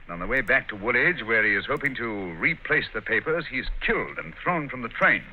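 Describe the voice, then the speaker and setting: An actor playing a sharp, brilliant male detective in a 1940s radio drama, brisk and quizzical in manner, on a muffled old radio recording. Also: medium pitch, animated, slight background noise, natural voice. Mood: probing.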